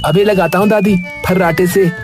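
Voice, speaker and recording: A woman voicing an eager, obedient young grandson who helps out in a cartoon story, brisk and obliging. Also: fairly low pitch, steady tone, over music, close to an everyday voice. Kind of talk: Hindi cartoon story acting.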